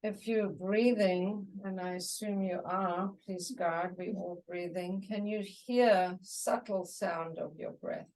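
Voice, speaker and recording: A woman teaching a guided meditation, giving soothing, unhurried instructions in short phrases with pauses. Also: mid-range voice, fairly even intonation, darkish tone, far from the mic, rough recording.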